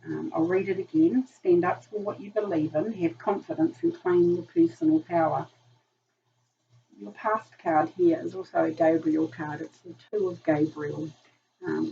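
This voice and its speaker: A woman with a New Zealand accent, hoarse and gravelly from a virus, talking in a low voice. She rambles through a casual tarot reading with frequent ums. The mic sits some way off, and the dull recording carries a faint hiss. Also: normal speed. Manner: chatty, rambling, many ums. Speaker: tarot reader. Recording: distant mic, dull sound, faint noise.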